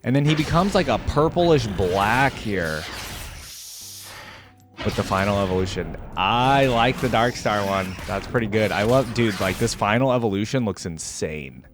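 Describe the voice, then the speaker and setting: A man's voice: lowish voice, expressive, measured pace, over music. A man giving casual, off-the-cuff reaction commentary as a video host, thinking aloud in an informal, exclamatory way.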